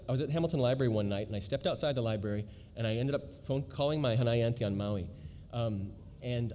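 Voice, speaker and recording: A man, a researcher of language newspapers, answering on a panel in a quick, reflective way with many restarts. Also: mid-range voice, darkish tone. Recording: long hall reverb, faint noise.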